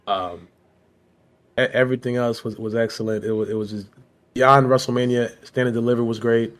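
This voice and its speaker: A man from Philadelphia co-hosting a podcast, his voice a little hoarse from being sick, rambling with repeated words and "you know what I'm saying.". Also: medium pitch, fairly even intonation, fairly quick. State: hoarse from illness. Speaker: podcast co-host. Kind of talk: casual chat.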